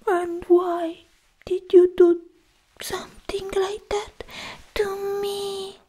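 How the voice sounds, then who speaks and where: A young woman playing a sweetly possessive, obsessed alien girlfriend in a whispered, coaxing ASMR roleplay, speaking close to the mic in halting phrases. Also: very high voice, little pitch movement, warm tone, heavily acted. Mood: mildly reproachful. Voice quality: breathy, mostly whispered. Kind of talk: soft-spoken ASMR roleplay. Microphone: close ASMR microphone.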